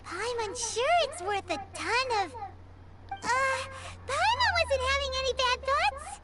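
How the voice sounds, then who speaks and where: An actress voicing a tiny, greedy fairy companion who chirps and talks about herself in the third person, over game music. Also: female voice, extremely high pitch, animated, slow pace, heavily acted. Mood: excited.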